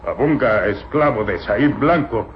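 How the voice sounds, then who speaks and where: Man playing slow, servile radio-serial servant. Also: mid-range voice, lively intonation, lightly acted. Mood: eager, servile. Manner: halting broken speech, bare infinitives. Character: servile, simple-minded Ethiopian male servant. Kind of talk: old Latin American radio serial acting. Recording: muffled old radio recording, faint noise.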